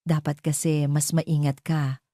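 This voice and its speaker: A woman's voice: sits low, flat delivery, unhurried, warm tone, dry recording, clean studio sound. A woman playing a haughty, wealthy ex-lover who talks sweetly with false pity, in an audio drama. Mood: feigned apology. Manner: sweet-talking with fake pity.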